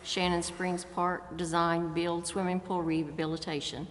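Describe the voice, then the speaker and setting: A woman's voice: fairly low pitch, level tone, normal speed. A woman on a city council reading out a formal motion. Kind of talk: formal motion at a public meeting. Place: large indoor council chamber. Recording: reverberant hall, faint background noise.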